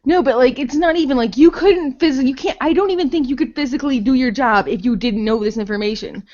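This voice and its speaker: A woman with a fairly high voice talks through a casual, unscripted game review. She rambles, leans on "like" and swears bluntly. The recording is a bit dull and lo-fi. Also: fairly quick, a little mellow. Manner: rambling, heavy use of "like"; blunt, profane. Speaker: podcast host reviewing games.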